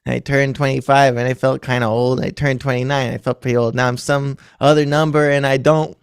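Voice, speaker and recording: 30s guy, raspy fry in his voice, rambles and laughs at himself, mid pitch. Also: level tone, quick pace, no room sound, clean studio sound. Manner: rambling, self-deprecating, laughs often. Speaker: musician guest. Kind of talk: casual chat.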